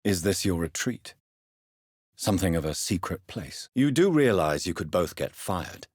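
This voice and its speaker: A grave British gentleman vampire doctor for a video game. His voice is very deep and markedly husky, with expressive rises and falls. He speaks in formal, measured period phrases, courteous and earnest. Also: no room sound, clean studio sound, full character voice. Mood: calm, inquiring. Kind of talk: dramatic game dialogue, formal period speech.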